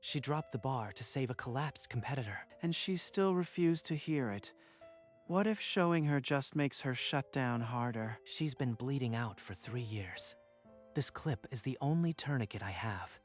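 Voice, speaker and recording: A man's voice: mid-range voice, natural voice. A man playing an earnest, worried young gym-goer in a dubbed romance comic, speaking in a pleading way.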